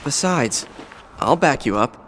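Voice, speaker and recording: Protective police teammate, very dynamic. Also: male voice, a little high, lively intonation, measured pace, a bit bright, slight background noise. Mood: reassuring. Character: protective, reassuring male special-police teammate under strain. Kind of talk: video game cutscene acting.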